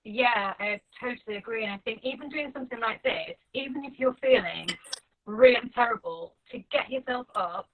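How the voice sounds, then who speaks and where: Woman, slightly high voice, tinny phone-like sound, chatty and relaxed. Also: normal speed. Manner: friendly and relaxed, says "like" a lot. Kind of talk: casual video chat. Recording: tinny, phone-like livestream audio.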